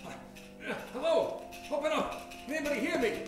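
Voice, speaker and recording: A man acts out a panicked prison warden in an old-time radio horror play. His voice sits very high and he stammers in overwrought melodrama. He sounds set back in a very echoey space with music underneath. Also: brisk. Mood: frantic, trapped. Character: panicked prison warden, seized by an unseen controlling force. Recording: echoey stage, slightly distant, music underneath.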